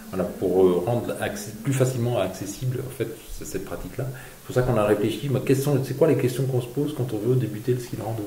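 A man's voice: lowish voice, warm tone. A man, a journalist and co-author, speaking at a panel evening, stammering and asking questions aloud. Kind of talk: panel talk at a book evening. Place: small room in a bookshop. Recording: echoey room.

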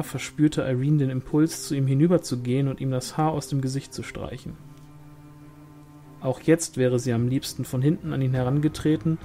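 A man's voice: medium pitch, mellow. A man reading a novel aloud as scripted narration, in the manner of an audiobook. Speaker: audiobook reader.

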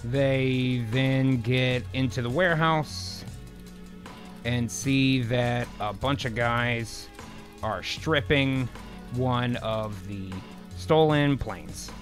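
A man's voice: medium pitch, very slow, soft background music. A man hosting and narrating a radio-play style show, mixing narration with casual banter. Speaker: host and narrator. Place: indoor home streaming room.

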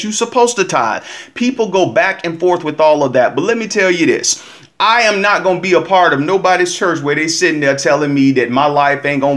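A man's voice: on the high side, fairly bright tone. A man hosting a commentary vlog, talking straight to his listeners in an emphatic, preachy, casual way.

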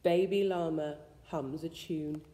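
A woman reads a rhyming children's picture book aloud in an expressive, sing-song storytelling way. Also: sits low, takes it slowly, a little hiss or hum. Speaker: school staff member reading a bedtime story. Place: school room with suspended ceiling, indoors. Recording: echoey indoor room with long reverb.

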